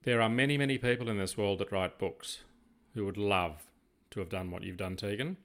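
An older Australian man co-hosting a casual podcast, talking in a rambling, deliberate way. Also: on the low side, fairly bright tone. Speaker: podcast co-host.

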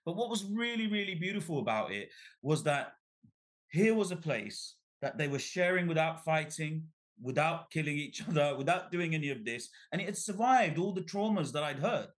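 A middle-aged man, born in Bangladesh, talks as an interview guest. His voice sits a little higher than most men's and rises and falls with lively energy. He tells a long story, tripping over his words and restarting often. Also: moderate pace. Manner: animated storyteller with frequent restarts and repeated words. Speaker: author, guest.

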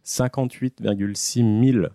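A man's voice: medium pitch, fairly even intonation, normal speed, creaky, mellow, no echo, clean studio sound. A man hosting a casual podcast, telling a history story with joking asides and frequent hesitations. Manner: joking, trails off with many hesitations. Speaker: podcast host presenting a history segment.

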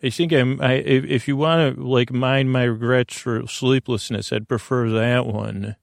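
A man with a creaky voice hosting a sleep podcast, meandering through halting, self-deprecating tangents. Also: medium pitch, steady tone, no echo, studio quality. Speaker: podcast host. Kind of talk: rambling bedtime sleep podcast monologue.

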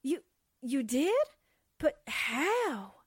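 A woman playing an anxious, bewildered young woman in 1940s radio drama style, halting and breathless. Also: high-pitched, very animated, breathy edge, full character voice.

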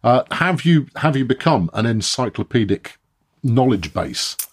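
A man's voice: mid-range voice, very animated, a little slow, breathy edge. A man hosting a podcast, putting questions to a guest in a conversational interview style.

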